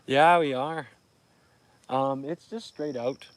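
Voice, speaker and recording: A man's voice: medium pitch, slow, no echo, low-quality recording. A tired Canadian man in his thirties, chatting casually to livestream viewers by a lake at dawn and keeping his voice down, with frequent laughs. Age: 30s. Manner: chatty, laughs often, keeps voice down. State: tired from little sleep. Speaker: fishing livestreamer. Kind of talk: casual live chat to viewers. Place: outdoors by a lake near a campsite at dawn. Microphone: phone livestream.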